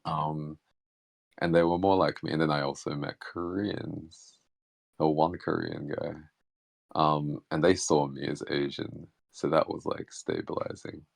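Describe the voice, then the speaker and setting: A man, a guest in an online interview, talking reflectively and haltingly with many fillers; his first language is Hakka Chinese. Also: very deep voice, fairly even intonation, vocal fry, no echo. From Australia. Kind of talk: casual reflective conversation. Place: online voice chat.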